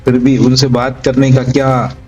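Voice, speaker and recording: A male reporter with a soft, dark voice at middle pitch puts questions in an interview. The sound is dull and lacking in detail, with a little music underneath. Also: monotone, measured pace. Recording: dull, band-limited sound.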